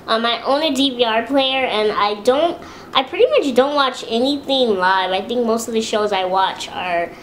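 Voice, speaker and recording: A young woman with a high-pitched voice, chatting casually to camera in a home video and talking about herself. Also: a little hiss or hum, rough recording. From Los Angeles, USA. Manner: chatty, relaxed, with many fillers and restarts. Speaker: beauty vlogger.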